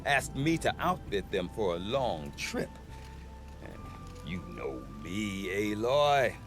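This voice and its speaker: Wily, sentimental male merchant for a fantasy game: lowish pitch, very expressive, wry self-justifier. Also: slow, music in the background. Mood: wry, grumbling. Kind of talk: video game cutscene acting.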